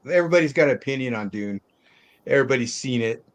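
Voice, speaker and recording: A man's voice: medium pitch, lively intonation, fast. A man co-hosting a casual movie discussion, giving blunt opinions in salty, informal language. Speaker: movie podcast co-host.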